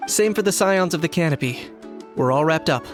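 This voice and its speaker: A man's voice: on the high side, animated, over music, natural voice. Man acting a composed, dryly blunt advisor. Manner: measured, formal. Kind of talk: video game character acting.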